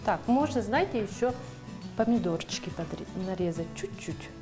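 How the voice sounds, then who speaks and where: A young woman from Yakutia, talking casually while cooking as a guest on a TV show. She speaks at an ordinary pitch and often pauses with fillers as she gathers her words. Music plays clearly under her voice in the studio kitchen. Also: animated. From Yakutia, Russia. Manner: chatty, hesitant, many fillers.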